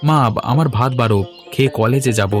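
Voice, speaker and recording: A man's voice: medium pitch, fairly quick, music in the background, close to an everyday voice. A man voicing an affectionate, playful young college student talking casually to his sister in a cartoon. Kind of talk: cartoon dialogue.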